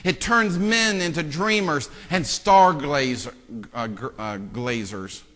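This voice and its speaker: A male pastor with a bright, forceful, fairly high voice preaches in a church sanctuary, his words ringing in long reverb. He drops to hushed, self-mocking asides to the congregation, then surges into loud, fervent calls. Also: takes it slowly. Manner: self-deprecating joking with the congregation. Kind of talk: sermon.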